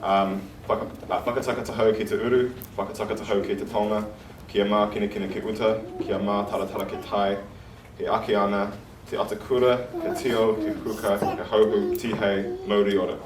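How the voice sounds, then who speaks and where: A man opening a community workshop in a relaxed, casual way, with many fillers and frequent chuckles. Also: lowish voice, brisk, few pauses. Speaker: workshop organiser. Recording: roomy, distant, noisy room.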